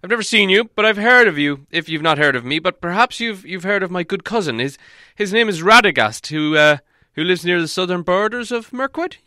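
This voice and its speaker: An old storybook wizard acted by a male reader speaks in a raised, clear voice. He is fussy and polite, explaining things with hesitant stammers. The sound is crisp and dry. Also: high voice, lively intonation, fairly quick, bright, forceful tone, no echo, pitched above the performer's own voice. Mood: nervously polite.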